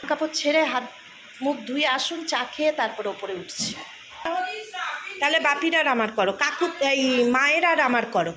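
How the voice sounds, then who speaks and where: Female daily vlogger, slightly high pitch, informal on-the-go chatter, noisy handheld sound. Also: animated, moderate pace. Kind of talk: casual vlog chat. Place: out and about in streets and shops. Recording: noisy handheld vlog audio.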